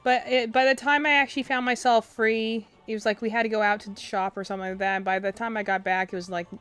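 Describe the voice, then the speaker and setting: Soft-spoken young woman rambling casually. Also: on the high side, fairly even intonation, quick pace, smooth, faint music behind. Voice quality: soft, quiet voice. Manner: rambling, filler-heavy, self-interrupting. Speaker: game streamer. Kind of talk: casual live-stream chat. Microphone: desk mic close to face.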